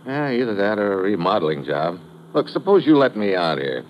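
Deep-voiced hard-boiled American investigator. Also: male voice, big pitch swings, brisk. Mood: brisk, urgent. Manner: hard-boiled, terse, wisecracking. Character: tough, sardonic American male investigator Steve, narrating his case. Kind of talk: old-time radio crime drama acting and narration. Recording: muffled, noisy vintage radio recording.

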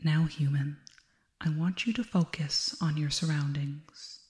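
A calm alien girl played by a woman hypnotizes her human captive in an ASMR roleplay. Her voice is low, soft and mellow, mostly whispered with a breathy edge. She gives soothing commands with quiet, measured authority. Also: heavily acted. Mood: calm, controlling.

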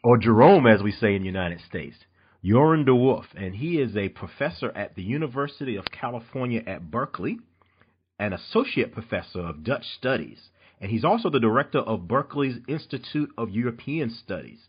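A man's voice: mid-range voice, animated, fairly quick, slightly rough, muffled recording. A man from North Carolina hosting an interview podcast, eager and chatty, often restarting his sentences. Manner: enthusiastic, with frequent restarts and fillers. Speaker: podcast host. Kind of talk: interview podcast, introducing a guest.

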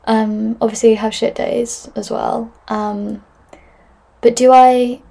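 This is a woman in her twenties talking through listener questions on her podcast. Her voice sits a touch high and carries a gravelly fry. She rambles, fills gaps with "like" and "um," and restarts her thoughts often. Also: little pitch movement, takes it slowly, mellow. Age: 20s. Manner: rambling, many fillers and restarts, laughs often. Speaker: podcast host. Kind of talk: casual solo chat answering listener questions.

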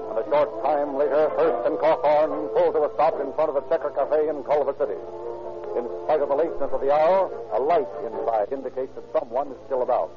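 Man narrating, formal n measured, muffled old radio sound w music behind. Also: medium pitch. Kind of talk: scripted narration.

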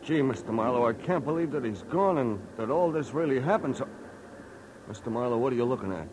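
A man's voice: medium pitch, animated, fairly quick, close to an everyday voice. A man acting an anxious, deferential sidekick in a 1940s radio drama. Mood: uneasy. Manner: earnest, hesitant, questioning. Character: anxious, deferential, helpful younger male sidekick. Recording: noisy old radio recording.

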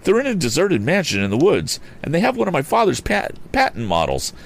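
A man's voice: fairly low pitch, big pitch swings, fairly quick, pitched above the performer's own voice. A man voicing a plucky, earnest young inventor in an audiobook, speaking with eager determination. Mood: urgent. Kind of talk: audiobook character voice.

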